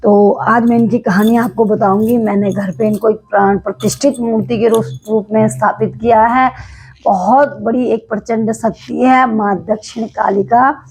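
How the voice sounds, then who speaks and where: A devout woman from Uttarakhand tells a temple legend to her viewers, her voice slightly hoarse from days of singing. The tone is gravelly and husky, warm with devotion, and broken by many small hesitations as she explains. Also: medium pitch, poor audio. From Uttarakhand, India. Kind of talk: casual storytelling to camera.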